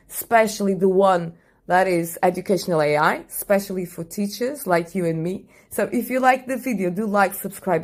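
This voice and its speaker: Upbeat woman. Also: medium pitch. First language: Portuguese. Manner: enthusiastic, upbeat. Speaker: teacher and channel host. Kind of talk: software tutorial voice-over.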